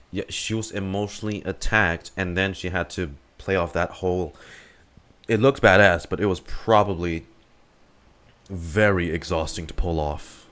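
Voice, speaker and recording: A man giving casual, halting, sweary reaction commentary on a game, musing aloud with music behind. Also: sits low. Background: soft game music.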